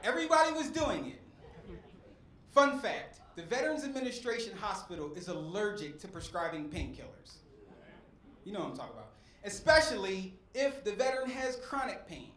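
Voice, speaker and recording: High-voiced man doing stand-up in an echoey club. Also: sing-song, moderate pace, a bit bright. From West Philadelphia. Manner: preacher-like cadence with crowd work. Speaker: stand-up comedian. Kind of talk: stand-up set. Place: comedy club stage. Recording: echoey club with faint crowd noise.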